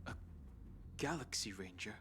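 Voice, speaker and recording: A man's voice: fairly low pitch, lively intonation, background noise. An actor playing a guarded, composed young man in a video game, speaking formally and warily. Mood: stern, challenging. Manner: formal, measured, wary.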